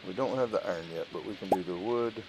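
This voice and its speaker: A man giving casual let's-play commentary, thinking aloud in short, low-key remarks. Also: fast. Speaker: gaming streamer. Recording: loud noise with rain-like clicking.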